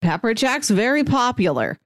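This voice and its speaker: A woman co-hosting a casual chat show, playfully arguing and explaining her reasoning in relaxed banter. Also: a little high, normal speed, no room sound, clean studio sound. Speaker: podcast co-host.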